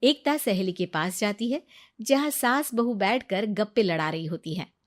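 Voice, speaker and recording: A woman's voice: mid-range voice, lively intonation, moderate pace. A woman storyteller narrating a scripted cartoon moral story with dramatic mock pity.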